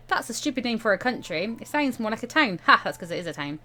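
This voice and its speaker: A woman's voice: fairly high pitch, very animated, moderate pace, pushed and bright, slight background noise. A woman doing a casual gaming read-aloud, chatty and jokey with offhand side comments and the odd stumble. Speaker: gaming let's player reading a visual novel aloud. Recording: music behind the voice. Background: music.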